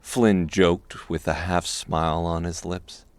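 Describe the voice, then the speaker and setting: A man narrating a scripted dark fantasy audiobook. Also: deep voice, level tone, takes it slowly, clear, resonant tone, no echo.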